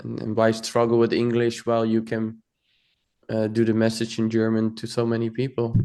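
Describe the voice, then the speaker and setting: A man's voice: on the low side, normal speed. Easygoing male podcast host. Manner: relaxed, encouraging, chatty. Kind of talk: casual interview chat.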